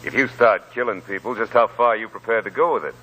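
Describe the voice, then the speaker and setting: An actor playing a cool, bold stranger who talks directly and shrewdly in a 1940s radio drama. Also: male voice, fairly low pitch, fast talker, pushed and bright, husky, poor audio. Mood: calm, persuasive. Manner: direct, calculating. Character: cool, bold male stranger bargaining with prospectors. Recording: old 1940s radio broadcast.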